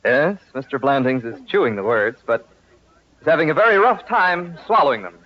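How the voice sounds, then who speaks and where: Male announcer, scripted vintage radio narration, lively intonation, dull band-limited sound. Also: medium pitch, normal speed. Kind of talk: scripted 1940s-50s radio comedy narration. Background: prominent music.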